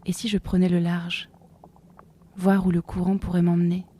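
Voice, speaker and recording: A woman acting a hesitant, dreamy sea-dweller in an audio fiction, musing and questioning softly to herself. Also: lowish voice, level tone, moderate pace, natural voice. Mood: pensive. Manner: musing, questioning, soft-spoken.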